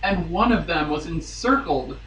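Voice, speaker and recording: A man's voice: on the high side, animated, a little slow, a bit bright. A man giving a theatrical dramatic reading of a romance novel, dropping into amused asides. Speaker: guest reader on a podcast. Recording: roomy, distant mic, faint noise.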